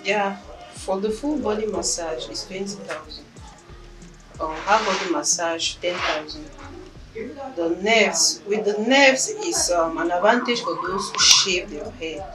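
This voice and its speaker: A woman's voice: lowish voice, animated, unhurried, over music, poor audio. A young woman, a spa esthetician answering interview questions plainly and with confidence, in a small treatment room. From Cameroon. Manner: plain, self-assured, brief answers.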